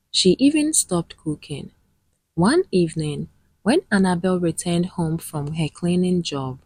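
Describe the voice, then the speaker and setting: Slow, husky woman telling a story. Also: on the low side, dry recording. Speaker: storyteller, narrator. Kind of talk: scripted dramatic folktale narration.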